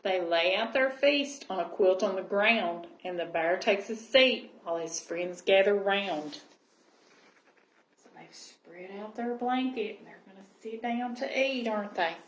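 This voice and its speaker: A woman reading a children's picture book aloud and pausing to explain with tag questions. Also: mid-range voice. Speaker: library storyteller. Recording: roomy echo, clear background noise.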